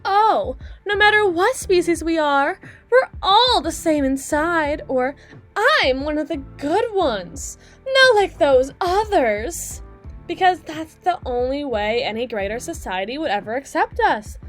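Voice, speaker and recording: High-voiced, snarky demon DJ acted by a woman. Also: lively intonation, unhurried, faint music behind. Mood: bitter, ranting. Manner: sarcastic, exasperated, biting, foul-mouthed. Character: snarky, crass, fast-talking female demon club DJ, bitter and defensive. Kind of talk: ASMR roleplay audio drama monologue.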